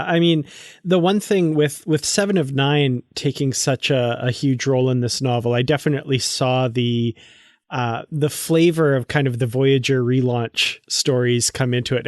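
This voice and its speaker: Guy cohosting a book podcast, chatty interview style, normal pitch, really dry audio. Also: no room sound. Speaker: podcast co-host. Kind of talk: conversational book-club interview.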